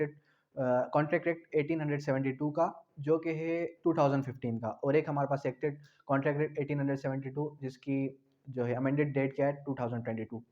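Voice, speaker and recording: Higher-pitched Pakistani man lecturing, dull audio. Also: a little mellow. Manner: repetitive, asks and answers own questions. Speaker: law lecturer. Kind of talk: explanatory lecture with examples. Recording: dull, lo-fi sound.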